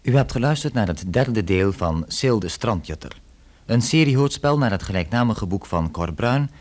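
A man's voice: fairly low pitch, animated, normal speed, a little mellow. A man reading scripted closing credits as the announcer of a radio drama.

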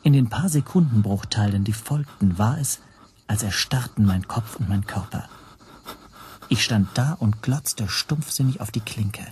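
An actor narrating a radio drama in the first person as an anxious language teacher, hushed and tense. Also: male voice, on the low side, expressive, a little slow, a little hiss or hum. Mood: tense dread. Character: anxious male language teacher recounting his spy troubles.

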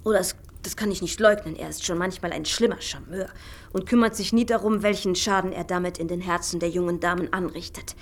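A woman's voice: on the low side, brisk. An actress playing a worldly young society woman in a German radio drama, speaking in an intimate, teasing, half-whispered way. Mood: teasing, knowing. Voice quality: breathy, often half-whispered.

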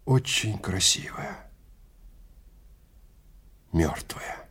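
A man narrating a science fiction audio drama theatrically, in deliberate short phrases with weighty pauses. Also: deep voice, expressive, fairly bright tone, husky. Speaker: narrator and actor.

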